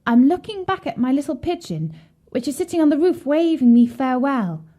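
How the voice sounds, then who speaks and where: A woman's voice: sits high, sing-song, a little fast, dark tone, pitched above the performer's own voice. A woman voicing a brave, consoling young boy in a children's fairy tale, earnest and reassuring. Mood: innocent. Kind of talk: children's storybook character voice.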